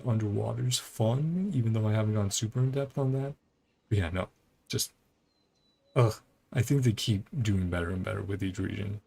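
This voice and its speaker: A man's voice: fairly low pitch, gravelly, a little mellow, slightly rough. A man streaming a video game, giving casual, rambling, laid-back commentary as he plays.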